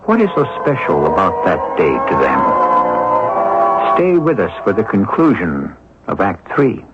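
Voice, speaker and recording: A man narrating as host of an old-time radio mystery, in a deliberate, dramatic, suspenseful scripted style. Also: low-pitched, wildly swooping pitch. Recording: dull old radio recording with music. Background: music.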